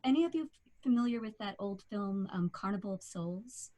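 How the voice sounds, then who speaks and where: A woman's voice: medium pitch, animated, moderate pace, mellow. Bubbly woman chatting on a live stream. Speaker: voice actor guest. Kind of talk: casual chat.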